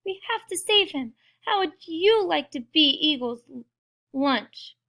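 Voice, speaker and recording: Lady doing a tiny worried prairie dog, really high n pleading, kids storybook. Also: lively intonation, unhurried, bright, forceful tone, full character voice. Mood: urgent. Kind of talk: children's storybook read-aloud.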